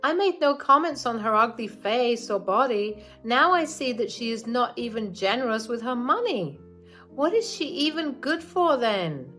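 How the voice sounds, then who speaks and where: A woman's voice: on the high side, big pitch swings, moderate pace, sharp tone, soft background music, pitched above the performer's own voice. A woman voicing a spiteful, greedy older mother-in-law in a raised, scornful voice.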